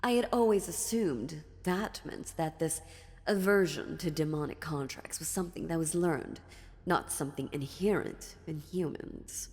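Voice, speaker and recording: A woman playing an ancient, sly and dominant female demon in a dark fantasy audio roleplay, taunting theatrically with deliberate pauses. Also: low voice. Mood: condescending. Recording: long reverb, music underneath.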